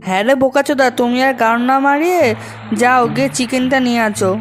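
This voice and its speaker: A man voicing a bossy, exasperated ringleader of a rowdy gang in an amateur comedy cartoon, crude and grumbling, slightly pitch-raised. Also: quick pace, a bit bright, faint music behind.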